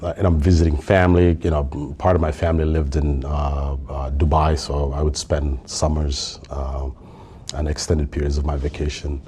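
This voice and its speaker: This is a deep, low-pitched man from Somalia whose first language is Somali. His tone is dark and mellow. He speaks as a podcast guest in relaxed chat, often pausing on fillers and breaking into laughs. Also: slight background noise. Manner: hesitant, many fillers, laughs easily. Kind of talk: casual interview conversation.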